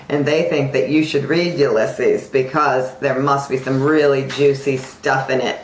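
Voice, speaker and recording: A woman's voice: very deep voice, echoey room. A woman co-hosting an informal mock news broadcast in a chatty, teasing way. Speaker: amateur newscast co-host.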